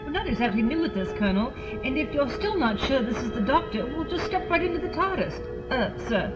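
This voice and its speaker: A woman's voice: high voice, very animated, a little fast. A woman playing a plucky, slightly cheeky schoolgirl in an audio drama. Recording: dull lo-fi with loud music behind.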